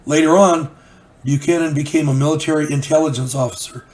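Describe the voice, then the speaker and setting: A man's voice: fairly high pitch, fairly even intonation, brisk, a little mellow. An older man, a channel host, telling a story in a folksy, rambling way while getting over a cold. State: recovering from a cold. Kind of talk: casual storytelling monologue.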